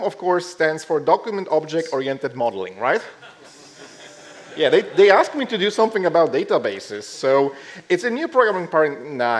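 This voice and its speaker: A man speaking casually at a conference as he gives a short tech talk, with dry, deadpan joking asides. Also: a little high. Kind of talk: casual lightning tech talk with live demo. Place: live talk to a conference audience.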